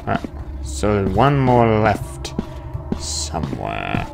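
A man's voice: fairly low pitch, huge pitch swings, takes it slowly, gravelly. A man giving casual, rambling gameplay commentary, muttering asides to himself. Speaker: gamer hosting a playthrough. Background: game music.

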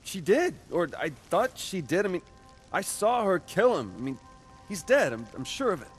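A man's voice: high voice, sing-song, moderate pace, husky, soft background music, heavily acted. An actor playing a cocky, wisecracking young American male superhero in a video game, with flippant, casual delivery. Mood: unsettled. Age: young adult.

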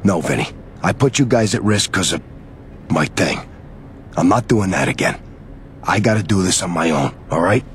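A man's voice: sits low, animated, normal speed, pauses often, big-room sound, slight background noise. A man voicing a brooding, tough mob boss with a New York accent in a video game, terse and streetwise. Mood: grim, resolute. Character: brooding tough male mob boss, haunted by lost love. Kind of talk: video game dialogue and monologue.

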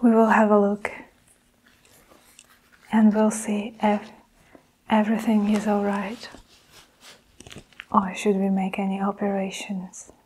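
A young woman playing a sinister nurse-doctor in an ASMR roleplay, speaking softly and reassuringly in measured phrases, often whispering. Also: mid-range voice, breathy edge. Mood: soothing. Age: young adult. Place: small indoor room with curtain backdrop.

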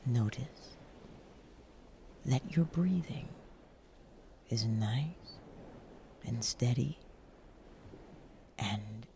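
A woman leads a nighttime guided meditation in a low, mellow voice with a creaky edge. She is breathy and soothing, often close to whispering, and leaves generous pauses between short, gentle instructions. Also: lively intonation, a little hiss or hum.

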